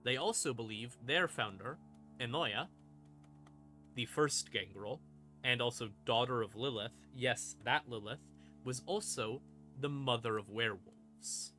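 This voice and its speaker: A man hosting a stream and explaining game lore in a casual monologue, with theatrical, deliberate pauses between short phrases. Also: mid-range voice, bright, forceful tone. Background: ambient music in the background.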